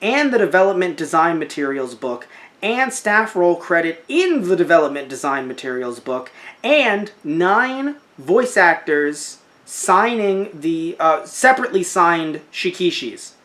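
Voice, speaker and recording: A young man co-hosting a casual podcast, animated and joking, with mocking asides. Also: sits high, unhurried. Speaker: podcast co-host. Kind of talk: casual chat about a crowdfunding campaign.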